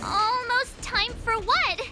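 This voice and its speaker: Very high-pitched anxious child apprentice. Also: female voice, takes it slowly, music in the background. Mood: nervous. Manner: timid, fretful. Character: anxious, childlike young apprentice on first mission. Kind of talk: video game cutscene acting.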